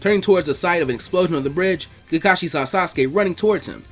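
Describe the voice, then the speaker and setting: A man narrating fan fiction aloud in an expressive, scripted storytelling style. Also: high voice, fast, faint music behind.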